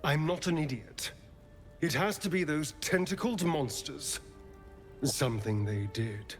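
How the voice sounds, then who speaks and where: An actor with an English accent playing a suave, sly elf rogue in a video game, haughty, silky and theatrical. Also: male voice, on the high side, measured pace, soft background music, close to an everyday voice. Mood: wary. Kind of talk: video game character acting.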